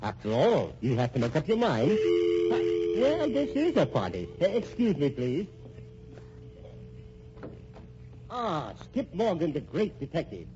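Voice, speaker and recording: An actor playing a smug, scheming husband in an old-time radio drama, full of stammering, mock-genial courtesy. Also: male voice, on the high side, wildly swooping pitch, moderate pace. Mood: falsely genial. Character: scheming, smug, sardonic husband playing everyone against each other. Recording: muffled, noisy old radio recording.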